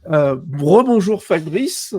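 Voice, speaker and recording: A man hosting a casual live stream interview, speaking in a hesitant, halting way. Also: high-pitched, lively intonation, dark tone, slightly rough. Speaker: host of a live show.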